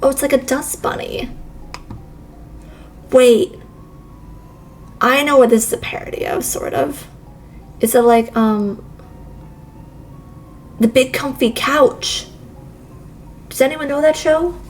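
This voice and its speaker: A woman with a fairly high voice streams a visual novel playthrough. She reads text aloud and drops in informal, wry comments. A little noise sits behind her voice. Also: takes it slowly, pauses often. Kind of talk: casual livestream playthrough, reading game text aloud with asides.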